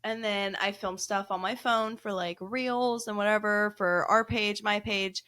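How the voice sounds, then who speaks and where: A woman with a loud voice, co-hosting a podcast and telling a ghost-hunt story in a lively, laughing way. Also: mid-range voice, a little slow, dry recording. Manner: animated, laughing storyteller who acts out quotes. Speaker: podcast co-host. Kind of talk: casual storytelling chat.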